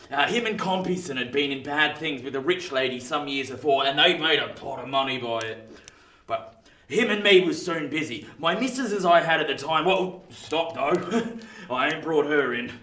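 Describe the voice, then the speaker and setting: An actor playing a rough old ex-convict in a radio play, with a working-class English accent, telling his past in an emphatic, colloquial way. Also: fairly high pitch, expressive, moderate pace, pushed and bright. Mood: gruff reminiscing. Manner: colloquial, emphatic storytelling that swings into indignation. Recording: roomy, distant from the mic.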